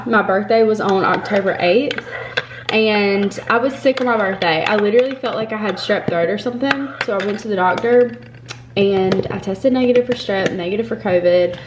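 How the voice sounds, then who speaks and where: A woman's voice: mid-range voice, normal speed, noisy background. A young woman, talking casually in a home vlog, a little stuffy from a cold, rambling and upbeat. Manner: rambling, upbeat, filler-heavy. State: congested from a cold. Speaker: vlogger. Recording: roomy home echo.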